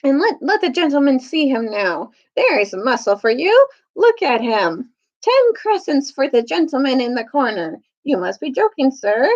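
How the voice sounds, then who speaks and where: A high-pitched, brash male auctioneer as a woman acts him out, pushing his patter with swooping pitch. He is an oily storybook rogue, heard on a slightly dull recording. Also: full character voice. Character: brash male slave auctioneer, oily and whining when cornered. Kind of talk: children's storybook read-aloud.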